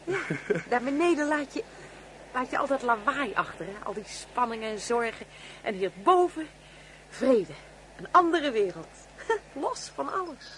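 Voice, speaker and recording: A motherly, gently possessive married woman in a radio drama. Her voice is fairly high and very melodic, coaxing one moment and teasing the next, always nudging for agreement. There is faint noise on the recording. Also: sing-song, a little slow, full character voice. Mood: lively, teasing. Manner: coaxing, tag questions, teasing. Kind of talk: radio play acting.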